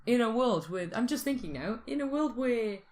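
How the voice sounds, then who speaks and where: A woman's voice: fairly high pitch, lively intonation, moderate pace, darkish tone. A woman podcast host in casual, comic banter, rambling a little as she thinks aloud. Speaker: podcast host posing the question.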